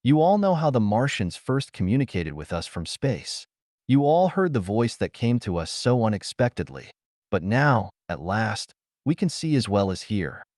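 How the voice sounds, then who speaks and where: Pompous, excitable showman boss played by a male narrator, grandiose and swooping, dry pulp audiobook sound. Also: fairly low pitch, normal speed, dry recording. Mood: grand, solemn. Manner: sonorous, dignified, grandiose announcing.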